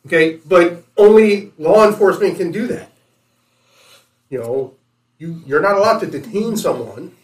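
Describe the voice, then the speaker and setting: A man's voice: high-pitched, expressive, moderate pace, darkish tone. A man on a discussion panel, explaining points casually but argumentatively, with precise legal wording.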